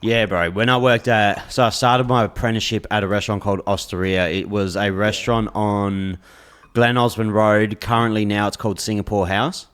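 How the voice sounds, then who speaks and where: A man's voice: fairly low pitch, steady tone, normal speed, a bit bright, clear, resonant tone. A young adult Australian man hosting a podcast, telling stories bluntly and swearing in nearly every sentence. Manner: swears constantly, blunt storytelling. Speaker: podcast host. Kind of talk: casual podcast chat.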